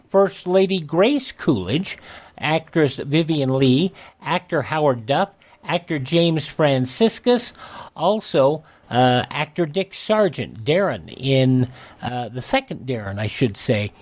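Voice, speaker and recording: A man's voice: a little high, lively intonation, slow, darkish tone. A man hosting a radio show, reading a scripted rundown of historical dates.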